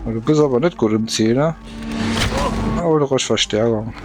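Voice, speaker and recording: A man's voice: mid-range voice, normal speed, very noisy. A man casually commenting while playing a video game, rambling and hesitant, often putting himself down. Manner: rambling, hesitant, self-deprecating. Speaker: let's play gamer.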